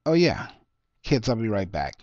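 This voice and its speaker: A man's voice: lowish voice, very animated, moderate pace, darkish tone, no echo. A nervous family man from a short story, voiced by a man. He talks casually, keen to explain things away. The sound is dry and a little lo-fi. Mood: anxious relief. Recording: dull lo-fi close recording.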